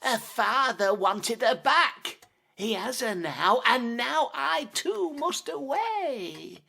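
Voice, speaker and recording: A woman's voice: fairly high pitch, big pitch swings, takes it slowly, vocal fry, sharp tone, husky, exaggerated voice. A woman voicing a sly, theatrical talking cat in a demon lord's service, with florid, old-fashioned phrasing, in an audio drama. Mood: ominous, insistent. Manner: florid, archaic, teasing sing-song.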